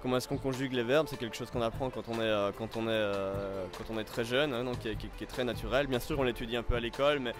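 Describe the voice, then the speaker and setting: A man's voice: medium pitch, fast, few pauses, music in the background. A man, a guest answering interview questions in a hesitant, casual way full of fillers and restarts. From Belgium. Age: 20s. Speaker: interview guest, French tutor.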